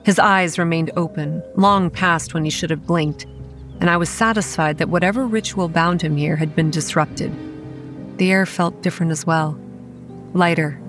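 A woman's voice: on the low side, steady tone, moderate pace, faint music behind, lightly acted. A woman playing a guarded, hot-tempered young campground manager who narrates and speaks in a horror audio drama, earnest with a sardonic edge. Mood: measured, explanatory. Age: young adult. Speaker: narrator and lead character.

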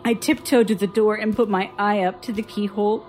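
A woman playing a haunted patient who haltingly recounts frightening childhood memories in an audio drama. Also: medium pitch, fairly even intonation, faint music behind, close to an everyday voice. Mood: haunted, tense. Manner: slow, halting, hushed suspense.

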